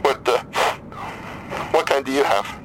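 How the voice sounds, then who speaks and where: A mid-pitched man played by an actor is a despairing, grieving father on a crisis line in an audio drama. He talks haltingly, weary and bitter, with faint noise behind him. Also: natural voice. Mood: subdued. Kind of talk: naturalistic audio drama acting.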